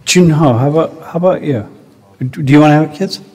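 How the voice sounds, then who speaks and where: A man's voice: a little high, fairly quick, a little mellow, slightly rough. A man, a professor, leading a discussion with his students and pressing them with rephrased questions. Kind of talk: lecture-hall conversation with students. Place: large lecture hall. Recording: echoey lecture hall.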